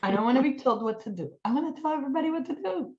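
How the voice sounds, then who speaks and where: Colombian woman telling a lively story. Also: sits high, very fast, muffled recording. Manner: animated, self-mocking, laughs at her own slips. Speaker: guest. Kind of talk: storytelling in a casual online chat.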